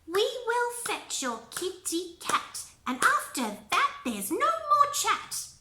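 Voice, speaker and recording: A woman doing shrill tiny fairies in a sing-song chant. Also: huge pitch swings, slow, bright, forceful tone, roomy sound, exaggerated voice. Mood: solemn. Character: tiny fussy fairies who hate noise, chanting rhymes. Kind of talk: storybook reading.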